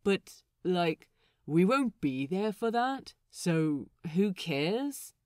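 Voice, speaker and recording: A woman's voice: on the low side, sing-song, extremely slow, dry recording, clean studio sound, full character voice. A woman voicing a mild, practical male pirate crewman who speaks hesitantly, with the cadence of a question. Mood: dismissive.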